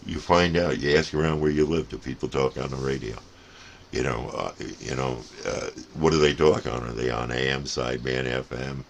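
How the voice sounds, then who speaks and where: Casting: older male hobby vlogger, gravel-edged bottom-register voice, casual and digressive, repeats words for emphasis. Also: extremely low pitch, moderate pace. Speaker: CB radio hobbyist giving advice. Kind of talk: casual rambling hobby vlog monologue. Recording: noticeable steady background noise.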